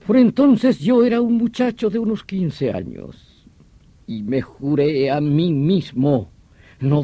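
Radio drama detective: obsessed villain-hunter, theatrical and emphatic, mellow tone, very animated pitch, dry close sound. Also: male voice, dry recording. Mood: solemn, vehement. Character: vehement veteran police detective obsessed with hunting a vampire villain.

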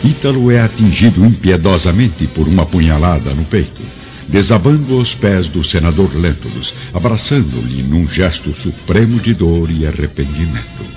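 A Brazilian man narrating a radio drama in a solemn, theatrical style with measured phrasing. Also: sits low, animated. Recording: dull lo-fi audio, music underneath.

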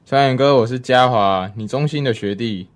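A man's voice: mid-range voice, moderate pace, lightly acted. A man acting a young, casual, self-assured younger schoolmate in radio drama dialogue. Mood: playful.

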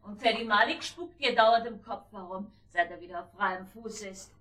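Woman playing a bright, inquisitive wife, slightly distant. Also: medium pitch, normal speed, close to an everyday voice. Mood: probing. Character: inquisitive, needling wife of an uneasy man. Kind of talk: German radio drama acting.

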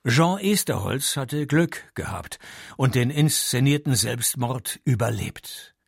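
An actor playing a dry, sardonic male private detective in a radio play, narrating and talking in a deadpan, needling way. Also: mid-range voice, slow pace, vocal fry, husky, heavily acted.

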